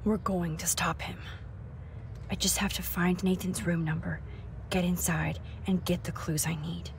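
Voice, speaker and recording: A woman acting a caring, nerdy young student photographer in a video game, hushed and supportive, quietly determined. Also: fairly low pitch, flat delivery, a little slow, creaky, slight background noise. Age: young adult.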